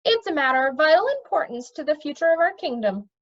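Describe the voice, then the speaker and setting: A woman's voice: high voice, sing-song, quick pace, highs cut off, pitched above the performer's own voice. A woman voicing an eager, blunt young boy prince who speaks up insistently, in a storybook reading. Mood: indignant. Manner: insistent, outspoken.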